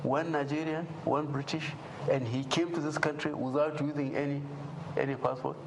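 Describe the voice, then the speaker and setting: Man in an old interview clip, keeps asking pointed questions, slightly high voice, lots of background noise. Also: flat delivery, moderate pace, rough recording. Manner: indignant, fires rhetorical questions.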